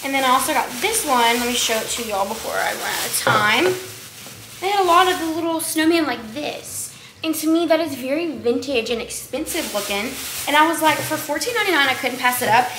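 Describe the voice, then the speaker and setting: Chatty high-voiced young woman in an echoey kitchen. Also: lively intonation, fairly quick. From Texas. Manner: chatty, rambling, lots of likes and ums. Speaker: vlogger. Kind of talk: casual haul chat to camera. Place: home kitchen, indoors. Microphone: camera on the counter at a distance. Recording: echoey kitchen with clear background noise.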